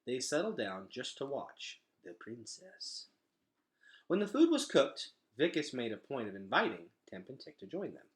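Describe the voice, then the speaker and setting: Animated man reading a story aloud. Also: mid-range voice, normal speed. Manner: animated, dramatic delivery. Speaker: reader of a children's novel. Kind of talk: expressive read-aloud storytelling.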